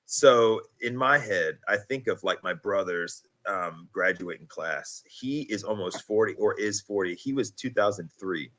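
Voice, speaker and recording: A man in his thirties, hosting a casual podcast conversation, chatty and quick to laugh, acting out little quotes as he talks. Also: on the low side, animated, fairly bright tone. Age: 30s. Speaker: podcast host.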